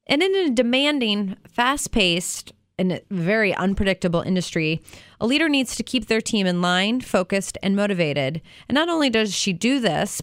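A woman hosting an interview show, introducing her guest and asking questions in a conversational way. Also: mid-range voice.

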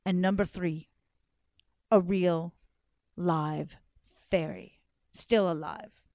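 A woman playing a lofty, doubting sky god in a told folktale, measured and imperious. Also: on the low side, fairly even intonation, gravelly, dark tone, no echo, clean studio sound. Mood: commanding. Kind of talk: oral storytelling.

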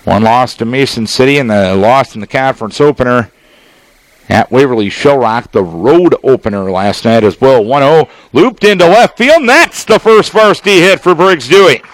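A man with an American accent giving live baseball play-by-play on the radio, in measured, descriptive phrases with pauses between them. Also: medium pitch, expressive, no echo, rough recording. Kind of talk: live sports play-by-play commentary.

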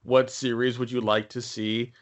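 A man co-hosting a podcast, chatty and making quick asides. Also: medium pitch, flat delivery. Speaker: podcast co-host. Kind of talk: casual chat. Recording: dull lo-fi sound.

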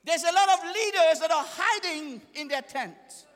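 A young man, not a native English speaker, delivers a fiery sermon with a very high, forceful, bright voice. He leads call-and-response chants and often breaks into shouts. The sound rings with long reverb in a big church hall. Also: a little slow. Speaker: preacher.

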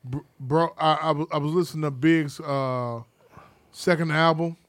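A man's voice: on the high side, fairly even intonation, moderate pace, a bit bright, no room sound. A man in his fifties from Chicago's South Side, co-hosting a podcast in casual chat, often stumbling and restarting his sentences. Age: 50s. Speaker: podcast co-host.

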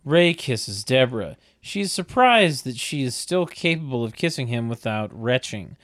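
A narrator reading stage directions in a deadpan, wry, mock-literary comic style. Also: mid-range voice, unhurried, dry recording, clean studio sound. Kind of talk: deadpan comedic script narration.

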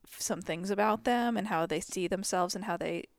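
A woman's voice: medium pitch, normal speed, gravelly, no room sound, studio quality. A young woman chatting casually with friends, musing tentatively. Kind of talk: casual chat among friends.

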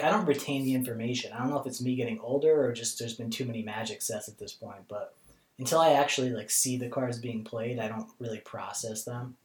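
A man's voice: mid-range voice, a little mellow. A man hosting a podcast, talking in a casual, slangy, laid-back way. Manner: laid-back, slangy, calls people bro. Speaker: podcast host. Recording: roomy echo.

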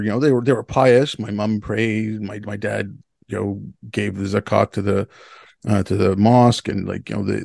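A man in his fifties from Canada telling his story casually as an interview guest, often restarting his sentences in a halting, conversational way. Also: on the low side, creaky, darkish tone, no room sound, studio quality. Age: 50s.

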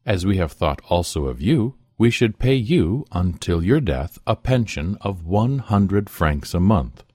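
Man as a deep-voiced, persuasive gentleman. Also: sing-song, slightly rough, no echo, clean studio sound, exaggerated voice. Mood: measured persuasion. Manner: measured, formal, reasoning. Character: polite, persuasive wealthy gentleman husband.

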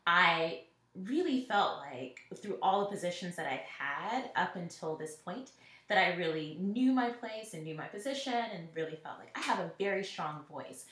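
A woman's voice: on the low side, fairly bright tone. A woman from Nashville answering interview questions in an earnest, reflective way, often quoting what others said. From Nashville, Tennessee. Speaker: interview guest, school network executive. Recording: roomy echo.

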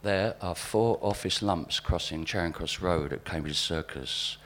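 Very deep-voiced Englishman reading deadpan vignettes. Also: unhurried, a little hiss or hum. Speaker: spoken-word performer. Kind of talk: live reading of overheard vignettes. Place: live literary event in a venue.

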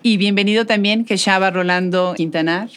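A woman's voice: medium pitch, fairly even intonation, moderate pace, clear, resonant tone. A woman hosting a live interview in an effusive, welcoming, conversational way. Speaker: podcast host. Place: book fair in Mexico City.

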